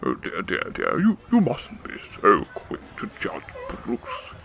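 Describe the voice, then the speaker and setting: A man's voice: fairly low pitch, huge pitch swings, slow pace, creaky, a bit bright, full character voice. A man playing a refined older club owner in a radio drama, speaking in a florid, courtly, theatrical way. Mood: courtly, genial. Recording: music behind.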